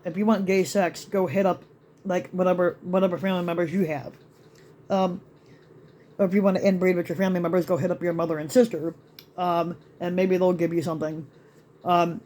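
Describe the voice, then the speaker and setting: A man ranting in a rambling vlog to his channel, with many restarts and fillers, his voice still slightly raspy after being sick. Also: high-pitched, a little mellow, a little hiss or hum. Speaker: YouTube vlogger. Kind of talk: rambling rant to camera.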